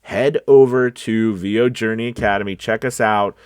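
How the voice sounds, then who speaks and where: Male voice-over coach, lower register, very dry recording; informal advice delivery that leans on key words and checks in with the listener. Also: steady tone, normal speed, clear, resonant tone, no echo. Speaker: voice-over coach and casting director. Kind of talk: casual direct-to-viewer advice talk.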